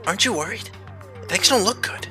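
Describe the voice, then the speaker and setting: A man's voice: high voice, expressive, brisk, long reverb tail, music in the background. A man voicing an earnest, anxious teenage schoolboy in an anime game fan dub. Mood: worried.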